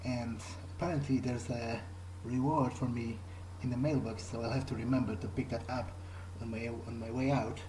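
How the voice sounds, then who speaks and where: A man's voice: medium pitch, fairly even intonation, normal speed, few pauses, noisy background. A man improvising aloud in character during a game playthrough, speaking in a hesitant, thoughtful, musing way. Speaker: gamer playing in character. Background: game music.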